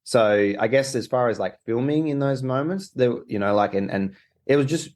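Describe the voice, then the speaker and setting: A man's voice: mid-range voice, moderate pace, a little mellow. A young man, a documentary co-director, answering interview questions in a rambling way with many restarts. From Australia.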